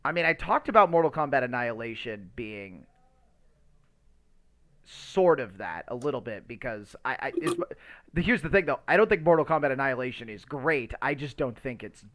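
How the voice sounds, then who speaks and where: A male podcast host with a very lively, swinging intonation and a mid-range voice. He chats casually and gives his opinions with emphasis, often stumbling and circling as he hunts for the right words. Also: mid-range voice. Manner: rambling, halting search for words. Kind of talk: casual chat about movies.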